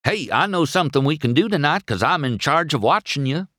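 A man's voice: a little high, expressive, brisk, a bit bright, dry recording, clean studio sound, pitched above the performer's own voice. A man voicing a goofy, friendly male tow truck in a children's storybook, chattering in a folksy, rambling way. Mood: eager.

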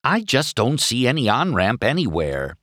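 A man's voice: mid-range voice, wildly swooping pitch, normal speed, fairly bright tone, no room sound, studio quality, voice pushed up. A man voicing an anxious, pleading female minivan, a long-suffering wife in a children's storybook.

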